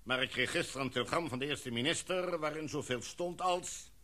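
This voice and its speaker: A man's voice: on the high side, fairly quick, pushed and bright. A man playing a commanding expedition chief. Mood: measured. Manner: formal, speech-like address to a meeting. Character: commanding male expedition leader, formal and decisive. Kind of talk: radio play acting.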